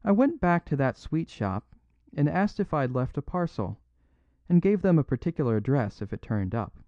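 A man voicing a humble little priest who speaks in a plain, unaffected, gently apologetic way. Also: a little high, big pitch swings, moderate pace, no echo, dull audio, pitched above the performer's own voice. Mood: gentle.

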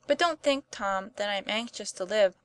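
A woman voicing a gentle, pious young boy who lies wounded, speaking earnestly as an audiobook character. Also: mid-range voice, moderate pace, clean tone, no room sound, close to an everyday voice. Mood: earnest, serene.

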